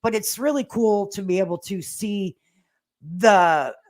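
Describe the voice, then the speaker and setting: A woman's voice: medium pitch, measured pace, a little mellow. Older female livestreamer, folksy and plain-spoken, slangy casual commentary with a sassy streak, mid-range voice. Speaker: livestream host. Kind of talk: casual livestream commentary chat, reading viewer comments aloud. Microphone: standalone microphone at a laptop.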